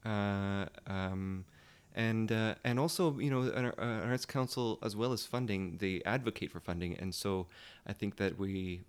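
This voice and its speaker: A man with a very low voice talks as a guest on a casual panel. He is thoughtful and hesitant, feeling his way toward each word. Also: unhurried, few pauses, smooth. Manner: hesitant, thoughtful, searching for words.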